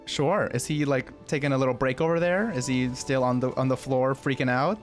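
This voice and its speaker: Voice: mid-range voice, steady tone, fairly quick, faint music behind. A game streamer chatting casually and reacting with exclamations and swearing, sometimes reading game text aloud.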